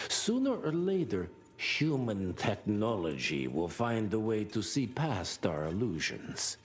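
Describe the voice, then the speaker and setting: An imperious vampire elder in a video game. He orates gravely at a very slow, measured pace. His pitch rises and falls dramatically. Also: heavily acted. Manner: measured, grandiose. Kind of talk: theatrical oratory in game cutscene.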